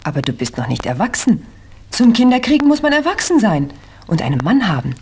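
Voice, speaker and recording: Patient mum, very melodic intonation, gentle explainer, vintage kids' audio drama. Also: female voice, fairly high pitch, very animated, brisk. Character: patient, kindly explaining mother of a small girl. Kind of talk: 1970s German children's radio play acting.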